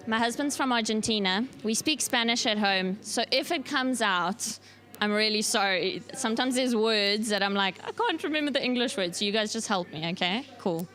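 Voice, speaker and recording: A woman's voice: medium pitch, a bit bright. A woman giving a church workshop talk, speaking casually and checking in with the listeners as she goes. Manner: casual, often checks in with the audience. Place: church workshop room with a live audience.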